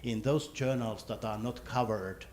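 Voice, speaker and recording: A mid-pitched man speaks up from the audience. He lays out his point in a deliberate, measured way. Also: a little mellow, a little hiss or hum. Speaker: audience member asking a question. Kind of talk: question from the floor.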